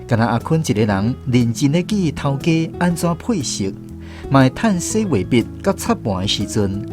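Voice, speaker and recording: A man's voice: mid-range voice, sing-song, normal speed, natural voice. A man with a Taiwanese accent playing a reflective storyteller who narrates a radio drama. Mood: reflective narration. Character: reflective male storyteller recalling apprentice days. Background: guitar music.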